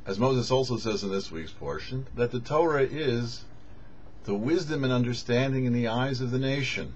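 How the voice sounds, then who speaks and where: A male rabbi delivers a religious lesson in a mid-pitched voice with lively, swinging intonation. He phrases deliberately, leaning on key words and pausing between thoughts. The sound is slightly dull. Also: slight background noise. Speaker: rabbi, host of a weekly Torah show. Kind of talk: scripted religious teaching.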